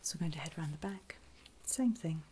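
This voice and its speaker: A woman whispering gently in an ASMR medical roleplay, giving reassuring step-by-step instructions as an examiner, in a soft breathy voice. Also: sits low, fairly quick, slight background noise. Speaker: ASMR performer playing a pre-op medical examiner.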